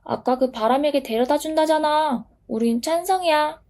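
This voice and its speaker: A woman doing squeaky little dust specks. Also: normal speed, darkish tone, lightly acted. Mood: squabbling. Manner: high squeaky chattering. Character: tiny giggling specks of dust, cheeky and hurried.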